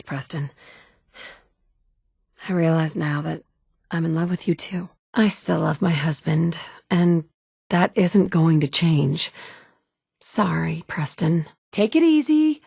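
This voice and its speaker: A woman's voice: on the low side, creaky, a little mellow, breathy edge, no room sound, full character voice. An actress voicing a blunt, sarcastic woman in a game, a protective mother with a dry, no-nonsense manner. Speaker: player character. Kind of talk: video game dialogue.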